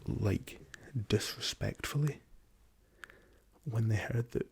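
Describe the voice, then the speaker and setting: A young man with a hoarse, raspy voice from a lingering cough, talking softly and hesitantly as an ASMR host, slipping into whispers. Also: on the low side. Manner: soft-spoken, slow and hesitant with many ums, drifting into whispers. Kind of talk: soft-spoken ASMR travel chat.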